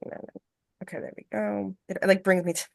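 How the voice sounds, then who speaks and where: A woman actor chatting casually at a table read, with a creaky voice. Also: medium pitch, steady tone, moderate pace. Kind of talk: casual chat.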